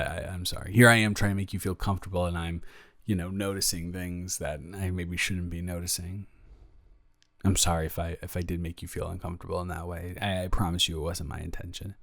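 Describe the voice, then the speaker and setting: A young man playing a caring, flustered roommate in an intimate roleplay audio, rambling and stammering as he gently reassures a friend. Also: low voice, creaky, dry recording, studio quality. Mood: apologetic, tender. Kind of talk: improvised intimate roleplay audio, one-sided conversation.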